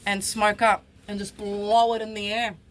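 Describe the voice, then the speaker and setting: A woman's voice: mid-range voice, flat delivery, moderate pace, noisy background. A woman, talking bluntly and with frustration in a personal vlog. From Australia. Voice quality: husky. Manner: blunt, frustrated, swears freely. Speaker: vlogger.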